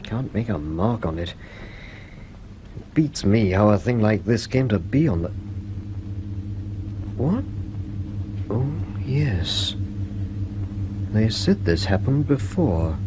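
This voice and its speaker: A dazed, injured man in a radio drama, speaking slowly and haltingly. He sounds shaken and confused, repeating words as he struggles to think, over faint ambient noise. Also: lowish voice, big pitch swings. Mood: puzzled, fearful.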